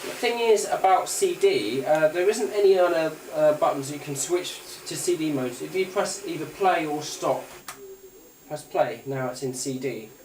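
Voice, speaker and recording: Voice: mid-range voice, recorded from a distance, noisy background. British gadget demonstrator, echoey and off-mic. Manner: hesitant, halting, thinking aloud. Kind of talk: casual hands-on product demonstration. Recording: roomy echo, off-mic, radio and music playing. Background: music from the player being shown.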